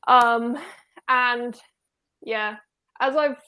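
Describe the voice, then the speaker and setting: A woman's voice: on the high side, steady tone, very slow, bright, forceful tone, smooth. A woman hosting an online book club discussion, chatting casually with lots of fillers and restarts. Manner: chatty, rambling with many fillers. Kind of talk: casual video call chat.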